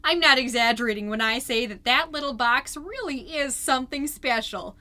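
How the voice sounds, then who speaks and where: A woman's voice: high-pitched, expressive, moderate pace, bright, forceful tone. A woman playing a chatty, petty small-town mom as the perky, defensive, rambling host of a scripted comedy podcast. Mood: earnest, amazed. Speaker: fictional podcast host and narrator.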